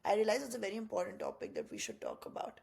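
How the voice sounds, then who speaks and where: A woman's voice: medium pitch, huge pitch swings, racing, gravelly, darkish tone, a little hiss or hum. A young woman from India co-hosting a podcast, talking in a reflective, rambling way with many fillers. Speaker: podcast co-host. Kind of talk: casual chat.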